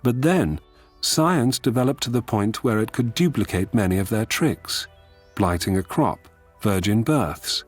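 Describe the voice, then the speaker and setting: A man reads wry guide notes in a fairly low voice. His delivery is scripted and comic with lively intonation, over faint music. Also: measured pace, husky.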